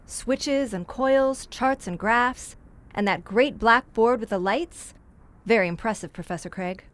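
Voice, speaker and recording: A woman's voice: on the high side, measured pace, lightly acted. An actress playing a curious, polite young woman writer, speaking with careful enunciation in an old-time radio horror drama. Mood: curious, impressed.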